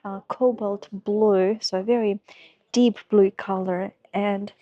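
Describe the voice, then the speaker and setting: A woman's voice: medium pitch, steady tone, slow, no echo, muffled recording. A woman chatting to viewers as a travel vlogger, explaining things casually, her voice slightly affected by a cold. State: slight head cold, voice a bit off. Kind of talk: casual travel vlog narration to camera.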